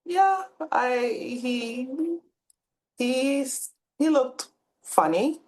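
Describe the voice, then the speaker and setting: A woman's voice: high-pitched, animated, extremely slow, darkish tone, slight background noise. A woman from Cameroon in her thirties, a podcast guest talking casually with many fillers and restarts. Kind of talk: casual interview talk.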